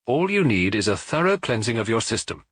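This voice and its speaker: A man reading a brisk spa doctor. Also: medium pitch, sing-song, a bit bright, breathy edge, no echo, studio quality. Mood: reassuring. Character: reassuring spa town doctor.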